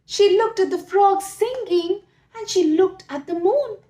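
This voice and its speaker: A woman telling a story to children expressively, with dramatic, drawn-out emphasis. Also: very high-pitched, mellow. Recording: echoey room.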